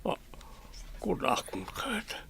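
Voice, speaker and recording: A man playing a groggy, suspicious, grumbling husband with a gravelly, husky voice in an Ilocano radio drama. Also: very slow, a bit bright, in a whisper.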